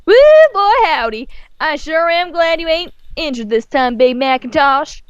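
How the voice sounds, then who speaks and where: A woman's voice: high voice, unhurried, a bit bright, dry recording, lo-fi sound, voice pushed up. A woman voicing a hearty, chatty female farm pony with a folksy country drawl, in a storybook reading. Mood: excited.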